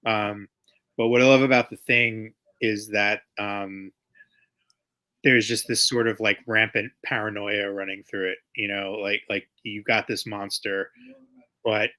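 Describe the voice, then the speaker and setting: Male interviewee, writer. Lowish pitch, clear forward edge, halting conversational delivery that circles back on itself. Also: level tone, pushed and bright. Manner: halting, thinks aloud, circles back over phrases. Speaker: comic book writer being interviewed. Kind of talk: casual chat.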